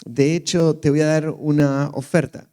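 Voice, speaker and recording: A man interprets live for a church speaker. His voice sits slightly high for a man, and he renders each phrase in short consecutive turns. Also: flat delivery, normal speed, warm tone.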